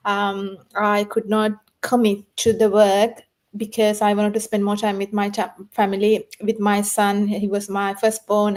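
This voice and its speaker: A woman's voice: mid-range voice, a little mellow. A woman in her thirties from Sri Lanka telling her story on a video call, eager and full of fillers. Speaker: guest. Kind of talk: casual self-introduction on video call.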